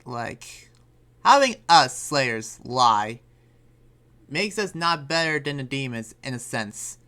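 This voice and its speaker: A man playing an earnest, troubled demon slayer and researcher in an improvised anime game roleplay, speaking haltingly and often trailing off. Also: mid-range voice, animated, bright, forceful tone. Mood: worried, earnest.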